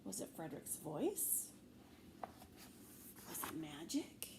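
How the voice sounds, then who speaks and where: A woman reading a children's story aloud expressively, with measured phrasing and deliberate pauses. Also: low-pitched, very animated, creaky, a little mellow. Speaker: storybook reader, teacher. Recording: faint background noise.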